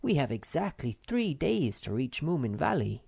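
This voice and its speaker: Snufkin, a woman doing a calm direct young wanderer. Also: low-pitched, moderate pace, creaky, husky, dry recording, studio quality, pitched above the performer's own voice, full character voice. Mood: firm. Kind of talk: audiobook character voice.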